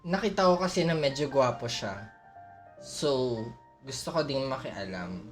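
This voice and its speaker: A woman's voice: extremely low pitch, lively intonation, normal speed. A woman with a Filipino accent, chatting casually and playfully as she streams a game, exclaiming and teasing. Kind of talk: casual livestream reactions to a game, mixing languages. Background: game music and character voices.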